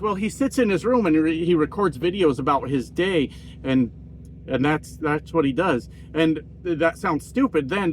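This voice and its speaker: A man's voice: fairly high pitch, a little hiss or hum. A man with a nasal voice, a truck driver ranting in a casual, profane and self-mocking vlog monologue. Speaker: trucker vlogger.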